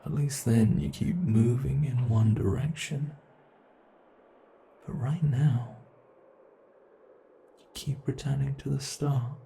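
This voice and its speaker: A man's voice: medium pitch, fairly even intonation, vocal fry, warm tone, slight background noise. A man with a British accent playing a mocking male monster who hunts a human, in an ASMR horror roleplay. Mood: teasing. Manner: mocking, drawn-out coaxing, impatient. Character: teasing predatory male monster hunting a human.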